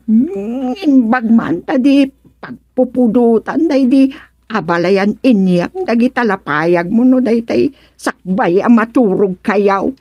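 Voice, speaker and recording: A woman's voice: on the high side, expressive, slow, dry recording, muffled recording, heavily acted. A woman doing a teasing village gossip. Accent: Ilocano. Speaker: village woman in radio comedy. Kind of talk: Ilocano radio drama acting.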